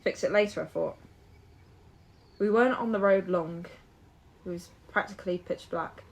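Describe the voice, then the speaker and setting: An actress playing a frightened, guilt-ridden young woman in an audio drama, speaking in halting, broken-off phrases. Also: fairly low pitch, lightly acted. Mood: subdued, remorseful. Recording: music behind.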